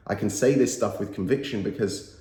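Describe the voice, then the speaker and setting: A man's voice: fairly low pitch, a little fast, dark tone. A man in his thirties, nasal from a cold, giving earnest and emphatic motivational talk to camera and repeating his key phrases. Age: 30s. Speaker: motivational YouTuber. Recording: roomy echo.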